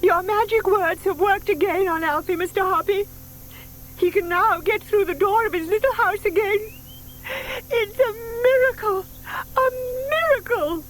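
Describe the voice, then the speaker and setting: A woman's voice: extremely high pitch, a little slow, rough recording. An older woman acting a sweet, gushing lady who dotes on her pet, in a children's audio drama. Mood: delighted, amazed.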